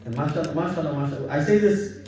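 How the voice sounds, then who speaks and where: A man from Bangladesh giving a relaxed, informal religious talk at a home gathering, repeating himself and using many religious phrases. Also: a little high, fast, background noise. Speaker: halaqah speaker. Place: home living room gathering. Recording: echoey room, far from mic.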